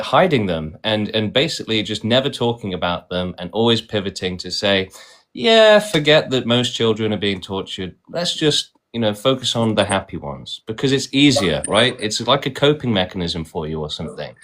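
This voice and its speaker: A man's voice: on the low side, sing-song, normal speed, fairly bright tone. A man hosting a debate stream, arguing insistently and often tagging his points with "right?". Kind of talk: argumentative debate.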